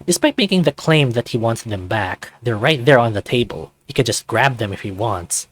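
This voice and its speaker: A man reading a visual novel aloud as an animated narrator. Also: mid-range voice, normal speed.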